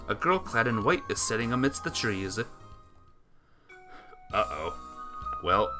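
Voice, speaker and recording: A man streaming a visual novel, reading the text aloud dramatically and chatting casually with viewers. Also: medium pitch.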